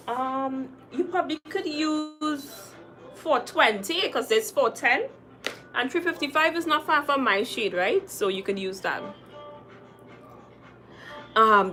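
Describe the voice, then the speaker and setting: A young adult woman with a Trinidadian accent, chatting casually on a livestream, reading viewer comments and repeating her phrases for emphasis. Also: high-pitched. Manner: chatty and lively, repeating phrases, calling viewers girl and sis. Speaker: makeup artist hosting a livestream.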